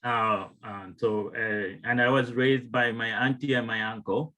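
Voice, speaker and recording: A man in his thirties with a Ugandan accent and a medium-pitched voice. He tells his personal story informally, as a panelist would. His delivery is halting, with frequent restarts. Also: flat delivery, pushed and bright, low-quality recording. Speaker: wellness tonic maker, guest panelist.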